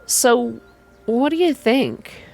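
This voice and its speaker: Woman doing friendly young gal sidekick, higher voice, chatty and sympathetic. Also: slow, gravelly, darkish tone, faint music behind.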